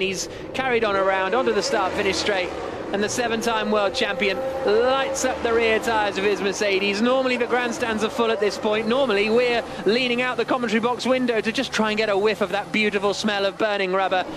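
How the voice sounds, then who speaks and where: A man giving live, excitable, dramatic motor racing commentary from a commentary box. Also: high-pitched, brisk. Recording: loud engine noise behind. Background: race cars and engine noise.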